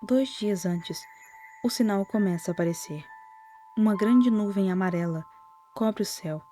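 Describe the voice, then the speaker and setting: A Brazilian woman narrating a scripted horror commentary in a soft-spoken way, with lightly wry asides. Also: mid-range voice, normal speed. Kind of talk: whispered-style scripted narration, storytelling commentary. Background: music.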